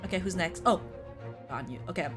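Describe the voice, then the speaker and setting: A woman's voice: lowish voice, animated, brisk. Woman chatting over music, thinking aloud. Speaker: co-host ranking video game quests. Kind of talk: casual chat.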